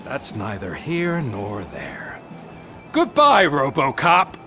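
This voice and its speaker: A man's voice: mid-range voice, huge pitch swings, takes it slowly, soft background music, exaggerated voice. A male actor playing an arrogant, scheming, volatile villain in a video game cutscene, with a theatrical, taunting delivery. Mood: smug menace. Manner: theatrical, taunting, swinging from smug to shouting.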